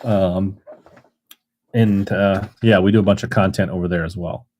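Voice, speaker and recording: A man's voice: low voice, fairly even intonation, normal speed, a little mellow. Chatty man selling baits live. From Minnesota. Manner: rambling, chatty, reads chat aloud. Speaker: live auction seller. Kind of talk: casual livestream selling.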